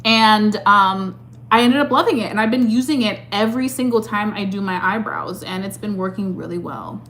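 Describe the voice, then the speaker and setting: Woman, twenties, medium pitch, casual beauty chat, upbeat, lots of fillers. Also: moderate pace, fairly bright tone, slight background noise. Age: 20s. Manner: chatty and upbeat, filler-heavy with many "like" and "um". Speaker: beauty creator answering live chat. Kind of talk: casual chat while doing makeup.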